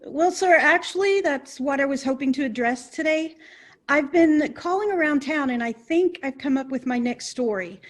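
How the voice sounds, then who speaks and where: Woman as polite, keen young journalist, high-pitched, for a stagey comedy. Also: normal speed, close to an everyday voice. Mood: eager. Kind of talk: stagey comedy play acting.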